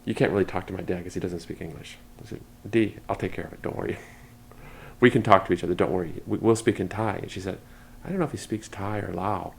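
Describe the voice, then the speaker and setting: Deep-voiced older male professor. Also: steady tone, fast, vocal fry, a little hiss or hum. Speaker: sociology professor. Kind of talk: casual storytelling.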